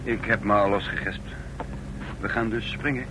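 An actor playing a subdued, hesitant kidnap captive in a radio play, speaking politely. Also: male voice, lowish voice, fairly even intonation, moderate pace, close to an everyday voice. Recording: noisy old radio drama recording.

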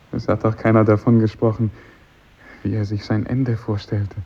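A man's voice: fairly low pitch, flat delivery, measured pace, breathy edge. An actor playing a mentally disturbed, intense man with a formal, probing manner in a 1950s German radio play. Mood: eerie, probing. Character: mentally disturbed former pupil, eerie, intense, easily affronted. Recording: dull vintage radio recording.

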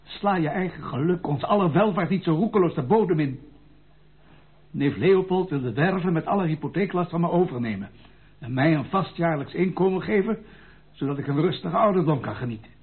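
Creaky old grandfather, pleading. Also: fairly high pitch, expressive, fairly quick. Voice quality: creaky, gravelly. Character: aging grandfather, retired general, pleading, anxious. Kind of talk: Dutch radio play acting. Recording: narrow-band tinny radio play recording.

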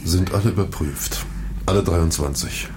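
Deep, matter-of-fact actor as a police sergeant. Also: male voice, normal speed, background noise, natural voice. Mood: dry, doubtful. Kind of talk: German radio crime drama acting.

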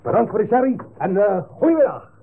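A greedy, self-satisfied Dutch business boss in a radio serial. He blusters haltingly with very lively pitch, through a tinny old recording. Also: gravelly, breathy edge, heavily acted. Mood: smug.

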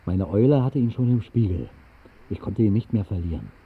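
An actor narrating a radio drama as a wistful storyteller, measured and deadpan in a literary style. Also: male voice, fairly low pitch, slightly rough, full character voice. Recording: muffled band-limited old radio recording.

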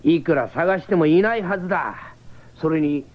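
Cheeky little cyborg shapeshifter, excitable n theatrical, muffled old radio drama. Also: female voice, low-pitched, normal speed. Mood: dejected. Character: cocky, comic young shapeshifting cyborg, excitable. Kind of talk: 1970s radio drama acting. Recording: dull 1979 radio broadcast.

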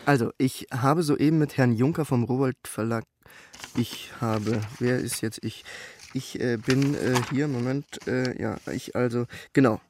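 A man reading documents aloud in a halting, informal way. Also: medium pitch, a little mellow, slight background noise.

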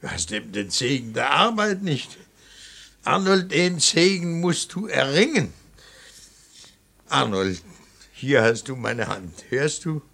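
An actor playing a stern, anguished middle-aged father in a classic German radio drama, raspy and insistent, moving between reproach and pleading. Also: mid-range voice, sing-song, slow, pauses often, pushed and bright, exaggerated voice. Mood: earnest, urging. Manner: insistent, theatrical, swings from biting reproach to pleading.

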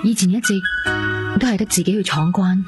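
A woman's voice: mid-range voice, brisk, close to an everyday voice. An actress playing an earnest, determined young woman in naturalistic Hong Kong Cantonese radio drama dialogue. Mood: earnest, uneasy. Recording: music behind the dialogue.

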